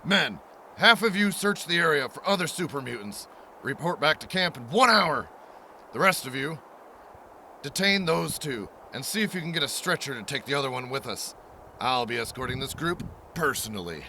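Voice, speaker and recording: Commanding post-apocalyptic officer male actor: forceful, bright mid-range voice, brisk and wary, faint noise behind. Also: fairly high pitch, lively intonation, heavily acted. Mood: stern, commanding. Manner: brisk, authoritative, giving orders. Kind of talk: audio drama acting.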